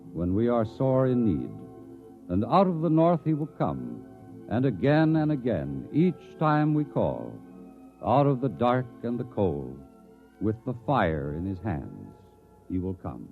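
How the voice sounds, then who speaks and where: Solemn, sweeping legend-teller. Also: male voice, fairly low pitch. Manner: grave, incantatory, dramatic. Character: solemn storyteller intoning an ancient legend. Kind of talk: 1950s radio drama narration. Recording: tinny vintage radio, music behind.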